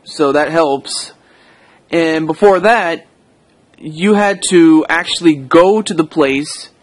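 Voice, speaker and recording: A man's voice: fairly high pitch, no echo. An American man giving a casual, unscripted audio log, hesitant and rambling, often correcting himself as he goes. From United States.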